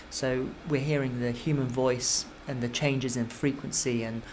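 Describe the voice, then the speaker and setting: A man giving an informal, step-by-step software tutorial as a music technology teacher, explaining as he goes. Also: mid-range voice. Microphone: computer microphone. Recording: clearly noisy computer microphone recording.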